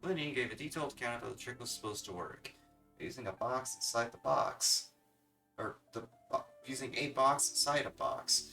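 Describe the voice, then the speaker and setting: A man's voice: mid-range voice, moderate pace, creaky, sharp tone. A man streaming a video game, giving casual commentary and reading text aloud. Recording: slightly distant mic, game music behind. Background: video game music.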